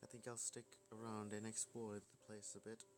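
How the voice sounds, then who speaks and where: A man's voice: fairly low pitch, flat delivery, moderate pace, close to an everyday voice. A man voicing a carefree, adventurous young woman in a visual novel, talking in a breezy, teasing way. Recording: music behind the voice.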